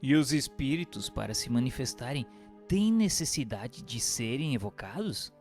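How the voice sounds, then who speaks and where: A man reading scripted notes and questions for a radio drama with formal, measured diction. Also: mid-range voice, big pitch swings, a little mellow, soft background music. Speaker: reader posing the questions.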